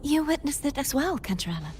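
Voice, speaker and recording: A woman playing a solemn, gentle young noblewoman in a video game, with soft, measured, formal phrasing. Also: sits high, sing-song, a little hiss or hum. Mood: questioning.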